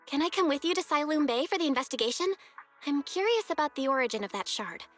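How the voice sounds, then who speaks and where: A woman's voice: high-pitched, expressive, fast, faint music behind. A woman voicing a curious, helpful young video game character with an earnest, inquisitive manner. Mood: eager, curious.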